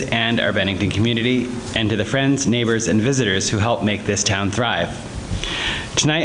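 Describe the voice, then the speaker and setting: A man from Vermont reads a prepared statement at a podium, his tone upbeat and promotional. His nose is stuffed up and sniffly, and his voice sits a little low. Clear background noise hangs under the meeting recording. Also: fairly bright tone. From Vermont, USA. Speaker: public commenter. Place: town meeting room. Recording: noisy local-access meeting recording.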